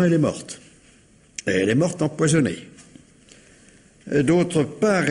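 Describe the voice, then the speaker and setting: Probing police commissioner, lively intonation, reverberant. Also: male voice, mid-range voice. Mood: reasoning. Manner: insistent, repeats himself, measured questioning. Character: probing police commissioner, courteous, insistent, wry man. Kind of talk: 1950s French radio mystery acting. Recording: reverberant hall-like radio drama sound.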